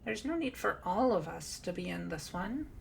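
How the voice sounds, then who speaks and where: A woman's voice: lowish voice, animated, moderate pace, gravelly, slightly rough, heavily acted. A woman voicing a sweet, timid cat girl in an ASMR roleplay, nervous, polite and hesitant. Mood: uneasy. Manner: hesitant, polite, softly reluctant.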